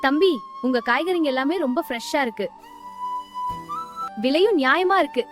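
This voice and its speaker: Village woman shopper voiced by an actress, friendly, very high pitch, story dialogue with prominent music. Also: expressive, moderate pace. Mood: pleased, admiring.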